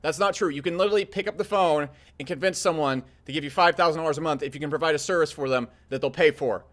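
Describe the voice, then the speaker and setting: A man's voice: a little high, fast, fairly bright tone. A man in his thirties giving blunt, profanity-laced business advice straight to camera. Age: 30s. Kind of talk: casual direct-to-camera advice talk.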